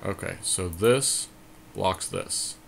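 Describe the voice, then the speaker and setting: A man's voice: sits low, takes it slowly, gravelly, slight background noise. A man co-hosting a casual gaming podcast, thinking aloud through his moves in short, deliberating fragments. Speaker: podcast co-host.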